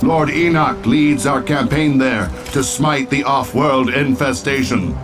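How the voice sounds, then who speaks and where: Man as a solemn, lofty knight, mid-pitched. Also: measured pace, close to an everyday voice. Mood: solemn zeal. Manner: formal, archaic, lofty phrasing. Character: solemn, devoted knight foot soldier of a secret order. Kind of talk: video game cutscene voice acting. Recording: music under the voice.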